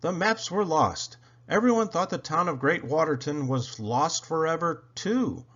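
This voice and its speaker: A man's voice: on the high side, expressive, unhurried, fairly bright tone, lo-fi sound, voice pushed up. A man voicing an authoritative, approving railway boss in a children's storybook, explaining and proclaiming. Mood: proud, informative. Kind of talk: children's storybook character reading.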